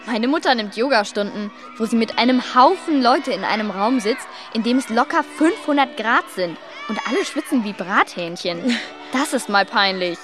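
A woman playing a cheeky, bossy young girl in a radio drama, brash, slangy and excitable. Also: on the high side, normal speed, close to an everyday voice. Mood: amused, mocking. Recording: music and crowd noise behind.

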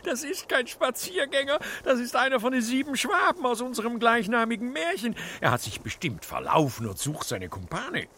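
A man's voice: very high-pitched, sing-song, moderate pace, few pauses, sharp tone, exaggerated voice. A man playing a fussy, pedantic storyteller and scholar who is easily flustered, in a children's radio play. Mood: excited. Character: fussy, indignant scholarly fairy-tale author, adult man.

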